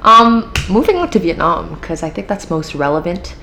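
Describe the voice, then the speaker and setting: Chatty low-voiced American teen woman podcaster. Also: lively intonation, moderate pace, vocal fry, pushed and bright, slight background noise. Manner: chatty, filler-heavy, upbeat with frequent laughs. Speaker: podcast host. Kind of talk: casual solo podcast talk.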